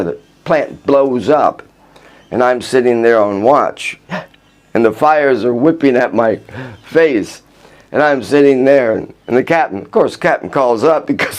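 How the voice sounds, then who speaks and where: An older man from the United States, telling personal stories in a halting, rambling way with restarts and chuckles. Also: mid-range voice. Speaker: vlogger recounting his life. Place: outdoors in Vrindavan.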